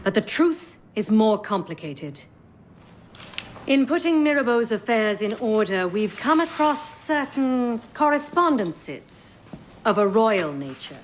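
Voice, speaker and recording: A composed leader of a secret order in a historical game. Her rather high voice is formal and authoritative, with faint rumbling behind it. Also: big pitch swings, slow pace, slight background noise. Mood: grave, composed. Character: stern, composed female leader of assassins. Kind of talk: video game cutscene acting.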